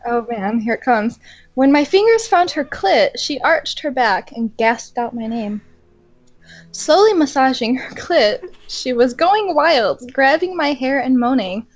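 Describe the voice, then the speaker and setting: A woman's voice: sits high, animated, measured pace, lo-fi sound, soft background music. A young woman reading fan fiction aloud in a mock-dramatic way and adding sarcastic, giggly comments between passages.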